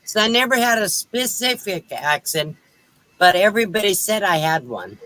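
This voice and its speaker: A man's voice: sits high, very animated, moderate pace, pushed and bright, no room sound, poor audio. A man hosting a casual livestream group chat, rambling and self-deprecating. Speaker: livestream host.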